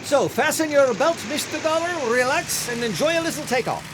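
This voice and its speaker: A boastful, chatty Nicaraguan pilot in a radio detective drama. The voice sits extremely high and swings in a lively way, full of cheerful wheedling. There is clear engine and outdoor noise behind it. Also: male voice, fairly quick. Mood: cheerful boasting. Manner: talkative, boastful, evasive. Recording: noisy, with engine and outdoor ambience.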